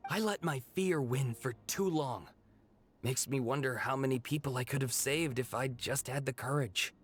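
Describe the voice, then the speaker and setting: A man's voice: mid-range voice, moderate pace, breathy edge, close to an everyday voice. A man playing a humble, regretful young fighter in a video game, reflective and earnest.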